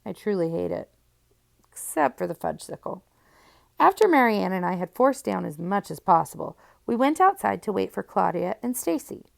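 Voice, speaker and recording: A woman narrates a children's novel in the first person. Her voice sits at a middle pitch with very heavy vocal fry, and she tells the story expressively. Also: sing-song, normal speed. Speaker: audiobook reader.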